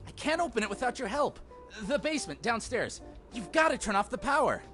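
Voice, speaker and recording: Male actor for an earnest young man, visual novel, emotional and animated delivery, casual phrasing, prominent music bed. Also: very high voice, fairly quick, heavily acted. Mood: urgent, panicked. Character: earnest guilt-ridden young man in a mystery. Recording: game dialogue under loud music.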